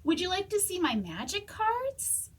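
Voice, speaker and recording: Woman as a young storybook girl, earnest, patient, gentle, high and lilting, unhurried. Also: distant mic, full character voice. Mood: encouraging, patient. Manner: expressive, gentle, sometimes whispering. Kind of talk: children's storybook read-aloud.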